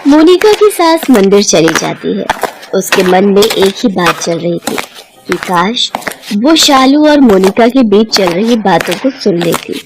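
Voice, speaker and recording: A woman's voice: mid-range voice, sing-song, a little slow, poor audio. A woman storyteller delivering scripted narration for a children's tale. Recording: music behind the voice.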